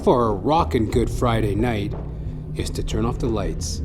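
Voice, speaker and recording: A man's voice: lowish voice, a little slow. A man narrating a scripted comic monologue in a theatrical, wordy, mock-confessional way. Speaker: podcast host and comedian. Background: music behind the voice.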